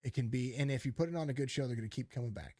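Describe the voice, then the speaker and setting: A man's voice: medium pitch, very fast, mellow, no echo, studio quality. Rambling emphatic podcast host, man. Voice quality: calls his voice fit for radio. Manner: rambling, many restarts and fillers, emphatic. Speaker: podcast host and race commentator. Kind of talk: casual podcast chat.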